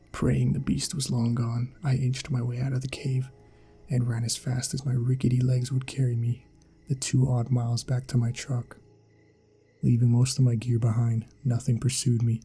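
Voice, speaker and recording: Husky, gravelly man narrating horror over music. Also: medium pitch, mellow. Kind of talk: scripted first-person creepypasta narration, ASMR. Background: background music.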